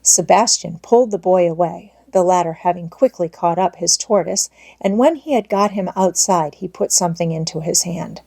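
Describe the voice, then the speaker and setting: A woman narrates a storybook with expression. Her voice is on the low side and notably mellow. The delivery is that of a scripted audiobook read aloud. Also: moderate pace, vocal fry, few pauses.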